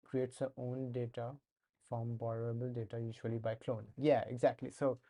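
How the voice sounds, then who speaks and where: A man's voice: mid-range voice, level tone, normal speed, dark tone. A young man with an Indian accent chatting casually while coding on a live stream, hesitant with many fillers and restarts. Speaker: streamer learning to code.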